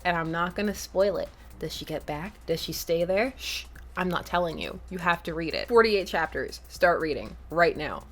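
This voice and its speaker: A woman's voice: on the low side, slight background noise. A woman hosting an enthusiastic recommendation vlog, speaking emphatically with stretched words for stress and playful asides. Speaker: host of a fan fiction recommendation show. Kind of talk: enthusiastic scripted vlog review.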